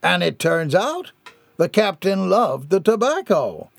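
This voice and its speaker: A man voicing a commanding ship's captain who speaks in a grand, deliberate way, as if addressing a crowd. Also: high voice, big pitch swings, gravelly, no room sound, pitched above the performer's own voice, heavily acted. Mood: dramatic.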